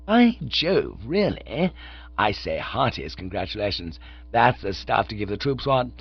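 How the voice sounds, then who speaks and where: A man voicing a cheerful young upper-class gentleman who chatters in breezy, chirpy slang. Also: fairly low pitch, big pitch swings, a little slow, breathy edge, heavily acted. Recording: dull lo-fi dry recording.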